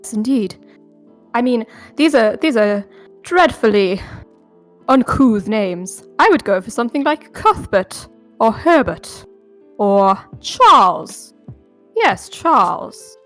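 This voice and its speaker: A woman's voice: fairly high pitch, very animated, slow pace, a bit bright, soft background music, full character voice. A woman voicing a prim, pompous upper-class lady from the 1800s, co-hosting a livestream. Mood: haughty. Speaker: charity speedrun marathon co-host.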